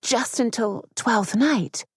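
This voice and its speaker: A shy young society lady with a soft, hesitant, trembling voice, a little higher and breathier than the narration, as a woman reading aloud puts her on. Dry, close recording. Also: slow, husky, dry recording, exaggerated voice. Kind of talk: audiobook character voice.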